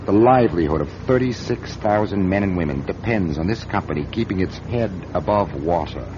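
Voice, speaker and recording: A man's voice: deep voice, animated, brisk. An American man playing a ruthless, cornered corporation president in a radio drama, self-justifying and menacing. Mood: pressing, exasperated. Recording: dull, noisy old radio drama recording.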